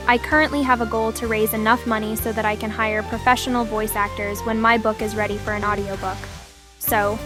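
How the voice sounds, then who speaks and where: A woman author and channel host reading a scripted, courteous appeal to listeners. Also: a little high. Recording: clear music bed.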